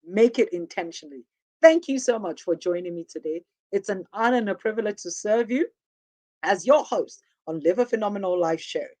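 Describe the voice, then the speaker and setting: A woman with a husky, hoarse voice from a sore throat hosts her motivational show. She talks in an upbeat, encouraging way, like a preacher. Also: mid-range voice, animated, a little fast, a little mellow. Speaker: host. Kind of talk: motivational talk show sign-off.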